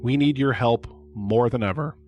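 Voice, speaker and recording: Mid-pitched male promo announcer. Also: moderate pace, darkish tone. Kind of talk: scripted charity promo read.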